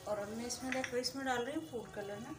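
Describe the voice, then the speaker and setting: A woman's voice: fairly high pitch, fast talker, far from the mic, music in the background. A woman demonstrating a recipe at her home stove, explaining each step plainly and unhurriedly. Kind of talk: casual cooking demonstration. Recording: echoey room, voice off-mic, loud sizzling.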